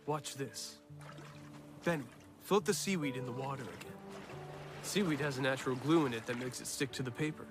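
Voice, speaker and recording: A man playing a friendly, knowledgeable young man in an animated film, explaining things in an easygoing way over music. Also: medium pitch, brisk, long pauses, natural voice. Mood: instructive. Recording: loud music under the dialogue. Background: loud music.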